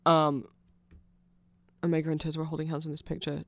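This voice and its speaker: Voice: medium pitch, completely flat delivery, brisk, creaky, a bit bright, no echo. A person of unclear sex giving a rambling ASMR explanation of fan lore, often getting flustered, trailing off and correcting themselves with amused enthusiasm.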